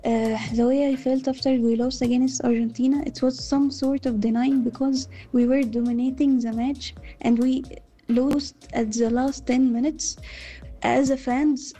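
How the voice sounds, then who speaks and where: A woman's voice: high-pitched, level tone, a little slow, mellow, soft background music. A woman from Egypt, not a native English speaker, giving a personal account as a fan. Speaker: football fan contributor.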